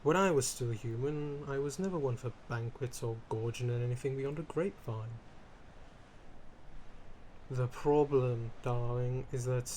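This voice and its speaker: A man's voice: medium pitch, warm tone, a little hiss or hum, natural voice. A man playing a theatrical, fussy vampire aristocrat in an ASMR roleplay, with pompous old-fashioned wording, flustered stammers and dramatic emphasis. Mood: confiding, weary. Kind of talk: ASMR roleplay character acting, addressing the listener.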